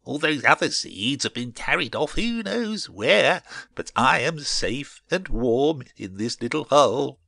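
A man's voice: mid-range voice, big pitch swings, a little slow, vocal fry, bright, forceful tone, no room sound, voice pushed up, full character voice. A man voicing a cocky, naive little male seed in a children's storybook, boastful and eager. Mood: gleeful.

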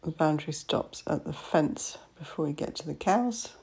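A woman's voice: sits low, moderate pace, vocal fry, darkish tone, husky. A woman estate agent narrating a walkthrough of a house, listing its features matter-of-factly in short phrases. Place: inside an old house.

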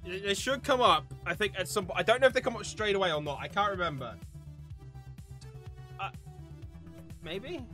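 An excitable game streamer giving casual live commentary, prone to shouting and cackling. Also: medium pitch, normal speed, pauses often, over music.

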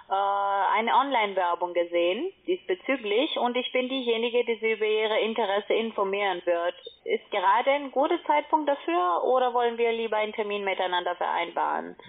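A woman's voice: mid-range voice, expressive, vocal fry. Insistent saleswoman on a tinny phone. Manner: insistent, formal, halting non-native phrasing. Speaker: call centre telemarketer. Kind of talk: telephone sales pitch. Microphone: telephone call. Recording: narrow, tinny phone line.

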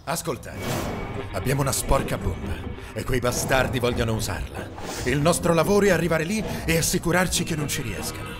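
A man's voice: medium pitch, a little fast, lightly acted. A man acting a pompous, zealous army commander devoted to his dog, in a melodramatic comedy dub. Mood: solemn, commanding. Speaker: voice actor in a fan dub. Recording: music bed behind the voice.

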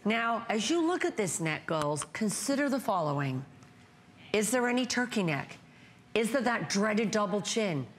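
A woman in her fifties pitching a skincare product on a TV shopping show, chatty and emphatic, stacking up vivid words, recorded on a lapel mic in a bright studio. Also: sing-song, moderate pace, slight background noise. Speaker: brand founder presenting on a shopping channel. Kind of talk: home shopping sales pitch.

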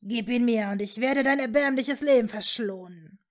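A woman voicing a cruel, commanding male dark lord who sneers and threatens in a fantasy audiobook. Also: a little high, moderate pace, natural voice. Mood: sneering.

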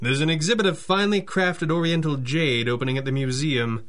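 An actor playing a stern, confident masked pulp hero, with a formal, declamatory delivery in a 1930s-style radio drama. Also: male voice, a little high, fairly quick, fairly bright tone, close to an everyday voice. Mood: assured.

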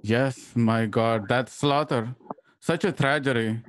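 Guy playing gentle earnest husband in a radio western, mid pitch, dry close sound. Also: slow pace, no room sound, natural voice. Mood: concerned. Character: tender, concerned frontier husband who mixes in Spanish endearments. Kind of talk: radio drama acting.

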